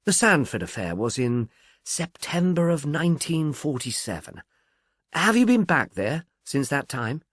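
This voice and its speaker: A man's voice: on the high side, very animated, a little slow, no room sound, studio quality, pitched above the performer's own voice. A man voicing an amiable, slightly obtuse police detective in an audiobook, chatty and musing, earnestly puzzled. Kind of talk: audiobook character dialogue.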